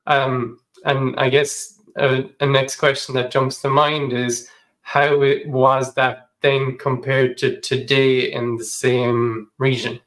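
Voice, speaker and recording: An Irish man hosts a podcast interview, putting his questions in a loose, conversational way with plenty of ums. His voice sits in a middle pitch. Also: little pitch movement, slow pace, fairly bright tone, low-quality recording. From Ireland. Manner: casual and searching, with many fillers. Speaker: podcast host.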